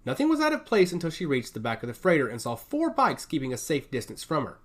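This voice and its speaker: A man reading a scripted dramatic story as narrator, in an expressive, descriptive way. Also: a little high, fast talker. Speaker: audio fiction narrator.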